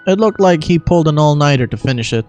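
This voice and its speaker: A performer voicing a composed, courteous adult character from a fantasy game in a measured, expository manner. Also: mid-range voice, expressive, a little mellow, faint music behind, lightly acted.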